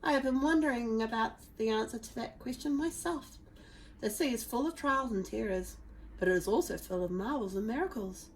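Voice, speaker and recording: A woman voicing an ancient, wise Viking grandfather in a storybook, hushed and wheezy. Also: fairly high pitch, brisk, a little hiss or hum, lightly acted, poor audio. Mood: musing.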